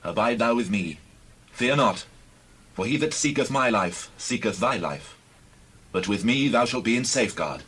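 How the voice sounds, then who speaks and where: A man's voice: fairly low pitch, expressive, normal speed, fairly bright tone, breathy edge. A man playing a devout, grave outlaw leader in dramatized scripture audio, speaking in a solemn, earnest way. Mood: grave, reassuring.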